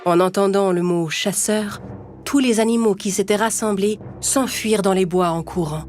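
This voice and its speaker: Female storyteller, slightly low pitch, scripted children's fable narration, music clearly behind. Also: normal speed, a little mellow.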